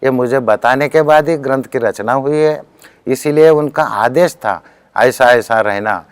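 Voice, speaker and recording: A man's voice: medium pitch, unhurried, clear, resonant tone, dry recording. A man with a Deccan Hindi accent giving a spiritual discourse as a teacher, telling accounts earnestly and quoting speech as he goes.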